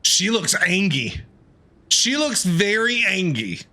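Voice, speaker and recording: A man's voice: high-pitched, big pitch swings, takes it slowly, pushed and bright. A man giving casual, playful live commentary as he streams a video game, reacting expressively.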